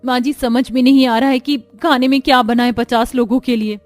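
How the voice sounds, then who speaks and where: A woman voicing a weary, overworked young daughter-in-law in an animated story, complaining plaintively. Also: fairly high pitch, fairly quick, no room sound, natural voice. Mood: worried.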